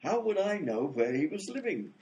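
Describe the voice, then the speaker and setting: A mild gentleman inventor, acted by a man for radio comedy. He sounds fussy and flustered, with a highish voice that rises and falls freely. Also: sing-song, normal speed, poor audio. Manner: polite, fussy, flustered, old-fashioned exclamations. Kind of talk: old-time radio comedy acting.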